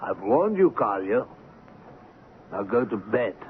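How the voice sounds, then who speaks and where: An actor playing a pompous, callous ivory station agent with an imperious, scornful manner in a 1970s radio drama. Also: male voice, lowish voice, very animated, slow pace. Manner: imperious, scornful, pulling rank. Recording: noisy, tinny 1970s radio broadcast.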